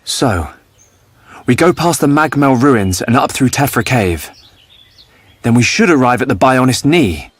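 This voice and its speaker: A man's voice: medium pitch, big pitch swings, unhurried, no echo. A man playing an earnest, thoughtful young hero in a video game. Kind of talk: video game cutscene acting.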